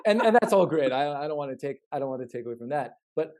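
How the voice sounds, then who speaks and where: Higher-pitched man, halting and earnest. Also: expressive, a little mellow. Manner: halting, repeats words, earnest. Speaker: podcast co-host. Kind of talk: casual podcast discussion.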